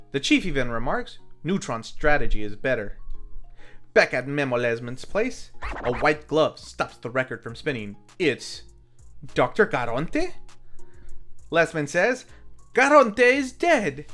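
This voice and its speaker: A man with a fairly high, very animated voice narrates a scripted movie recap. He tells it with wry, joking asides, like an online reviewer. Faint music plays behind him. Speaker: film reviewer, video host. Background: background music.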